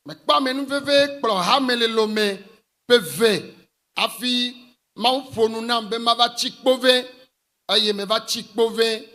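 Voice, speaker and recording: A man's voice: very high-pitched, slow pace, sharp tone. A man, interpreting live at a religious crusade, phrase by phrase.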